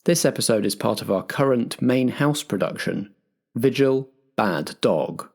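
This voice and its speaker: A man's voice: medium pitch, animated, measured pace, gravelly, darkish tone, breathy edge. A man introducing the show as its compere, in a theatrical announcer delivery. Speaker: host and compere.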